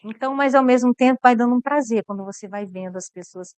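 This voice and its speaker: Earnest Brazilian woman missionary, crisp voice. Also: on the high side, quick pace, a little mellow, no echo. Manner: earnest, repeats words as she thinks. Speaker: missionary guest. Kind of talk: interview about mission work.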